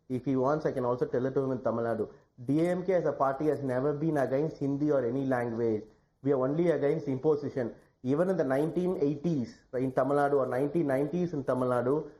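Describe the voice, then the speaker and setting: A woman speaking on a TV debate panel, explaining her party's position in a measured way. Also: deep voice, dark tone, echoey room, low-quality recording.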